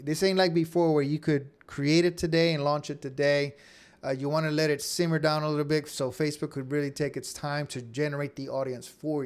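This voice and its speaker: Male online course instructor, voice a touch high, conversational tutorial delivery with frequent check-ins. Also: creaky, clean tone. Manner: conversational, repeatedly checks in with "okay?" and "right?". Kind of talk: informal screen-share tutorial.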